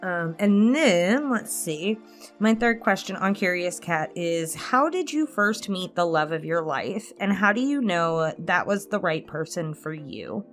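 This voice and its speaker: A woman's voice: on the low side, animated, a little slow. A woman in her late twenties chatting candidly and casually as she answers subscriber questions, rambling through personal stories. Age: 20s. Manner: candid, rambling storytelling with small chuckles. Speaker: ASMR channel host answering subscriber questions. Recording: music playing behind the voice. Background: instrumental music.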